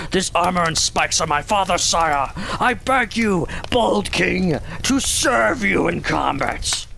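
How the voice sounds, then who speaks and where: Man voicing a high-pitched, noble little turtle. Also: vocal fry, pushed and bright, slight background noise, exaggerated voice. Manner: formal, pleading, noble. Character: earnest, humble little turtle begging a king.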